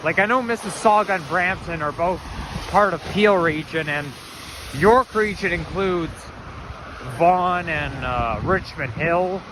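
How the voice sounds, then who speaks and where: A man with a loud voice chatting as he walks through town, rambling and thinking aloud with small restarts, filming a casual walking vlog outdoors. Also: high-pitched, unhurried. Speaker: vlogger. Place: snowy city street outdoors. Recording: street noise and wind on mic. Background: traffic and wind.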